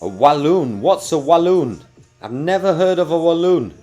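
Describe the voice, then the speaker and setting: A man from Belgium with a mixed UK, New Zealand and American accent talks to his livestream chat from his home desk. His voice sits a bit high, and he stays chatty and upbeat while sounding tired. Faint music plays behind him. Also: animated, moderate pace, warm tone. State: tired after a night awake. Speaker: livestream host. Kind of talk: casual livestream chat to viewers.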